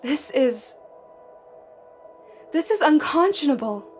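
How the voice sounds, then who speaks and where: An idealistic woman recruit in an audio drama, her voice on the high side. She speaks haltingly and with feeling, horrified and defiant, over faint music. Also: sing-song, a little mellow, full character voice. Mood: indignant, resolute. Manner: halting, emotional, indignant.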